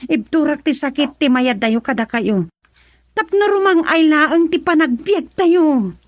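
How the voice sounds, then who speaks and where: A woman's voice: high-pitched, lively intonation, unhurried, no room sound. A woman with an Ilocano accent playing an earnest, self-sacrificing middle-aged mother in a radio drama, hesitant and pleading.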